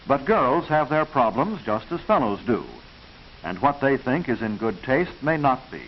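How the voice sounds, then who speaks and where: An American man reading scripted narration for a 1940s educational film, instructive and proper, with measured enunciation. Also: medium pitch, big pitch swings. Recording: hissy, muffled 1940s film soundtrack.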